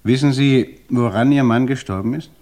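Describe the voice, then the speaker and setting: An actor playing an investigator who questions a widow in a measured, insistent way. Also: male voice, mid-range voice, a little mellow, lightly acted. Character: calm, probing male investigator interrogating a widow. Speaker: interrogator. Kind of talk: radio crime play.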